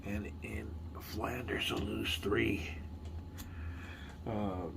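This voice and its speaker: A man hosting a casual board game playthrough, rambling and chatty, muttering rules half under his breath and sounding a little hoarse. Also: lowish voice, little pitch movement, extremely slow, noisy background. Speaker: board game video host. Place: home room with game tables.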